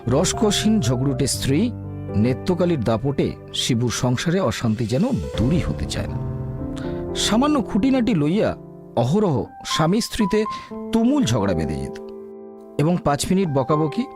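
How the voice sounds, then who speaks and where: Expressive male storyteller over music. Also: on the high side, moderate pace, pauses often. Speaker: narrator. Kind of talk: scripted storytelling narration. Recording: background music under narration.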